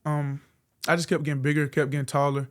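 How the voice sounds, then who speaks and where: Quick, flat-voiced young man from Florida. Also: a little high. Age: 20s. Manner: soft-spoken, polite, answers with "yes, sir". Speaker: college football player, guest. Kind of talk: casual interview answers.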